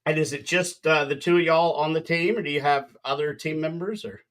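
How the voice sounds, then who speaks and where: A man's voice: on the high side, fairly quick, fairly bright tone. A man hosting a casual podcast over a video call, joking and rambling with his guests. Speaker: podcast host. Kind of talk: casual chat on a livestream.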